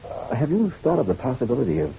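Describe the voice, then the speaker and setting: An actor playing a suave, hard-boiled private detective in a radio drama, measured and courteous with a dry edge. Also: male voice, mid-range voice, huge pitch swings, creaky, mellow, slight background noise. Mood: probing. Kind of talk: noir radio drama acting and narration. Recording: dull radio-drama recording, music behind.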